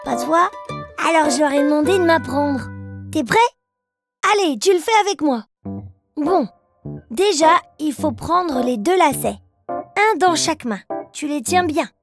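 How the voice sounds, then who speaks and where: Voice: high-pitched, lively intonation, a little slow, pauses often, a bit bright, full character voice. A performer voicing an eager, chatty little boy bear cub who explains things simply in a children's cartoon. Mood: chatty, instructive. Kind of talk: children's cartoon, instructive nursery narration. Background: children's music.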